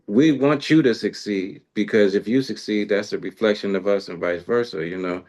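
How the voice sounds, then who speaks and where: A man's voice: on the low side, moderate pace, a little mellow. A man hosting a casual interview in an informal, colloquial conversational way. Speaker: interviewer, show host.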